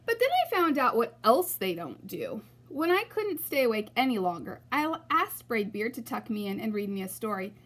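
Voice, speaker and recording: Animated woman reading a children's story. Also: a little high, moderate pace, few pauses, husky. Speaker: storybook reader. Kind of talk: expressive children's read-aloud narration.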